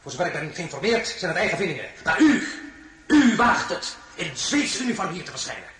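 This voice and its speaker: An actor playing an imperious, mocking French emperor in a radio drama, theatrical and commanding, with pointed pauses. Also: male voice, high-pitched, very animated, crowd noise. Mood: indignant. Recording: roomy, echoing studio sound.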